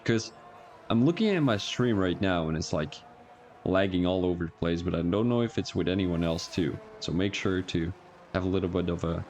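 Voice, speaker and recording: A man's voice: sits low, darkish tone, a little hiss or hum. A young man from Belgium with an Antwerp Flemish accent, chatting casually and rambling as a racing livestream commentator, chuckling and correcting himself as he goes. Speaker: race commentator.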